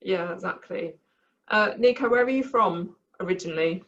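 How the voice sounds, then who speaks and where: A woman's voice: fairly low pitch, normal speed, fairly bright tone, dull audio. A woman interviewing in a casual, conversational style.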